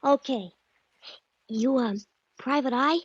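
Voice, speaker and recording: A woman's voice: high voice, slow pace, breathy edge, dry recording, exaggerated voice. A woman playing an earnest, secretive small-town American boy with hesitant kid slang in a 1940s radio drama. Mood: wary, secretive. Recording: dull old 1940s radio recording.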